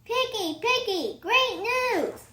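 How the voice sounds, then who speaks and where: A young-sounding female reader giving an expressive, theatrical read-aloud of a children's picture book. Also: very high voice, slow, roomy sound.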